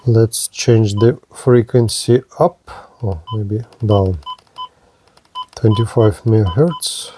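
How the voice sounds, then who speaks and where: A man giving a hands-on demonstration of gear as a product reviewer, speaking in short, halting phrases. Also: fairly low pitch, noisy background.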